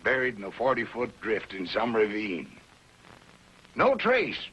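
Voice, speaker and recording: An actor playing a crusty, excitable old Yukon storekeeper who talks in folksy frontier slang, in a 1950s television western. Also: male voice, fairly low pitch, measured pace, husky, dull audio, full character voice. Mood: gossipy, dramatic. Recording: noisy vintage 1950s television soundtrack.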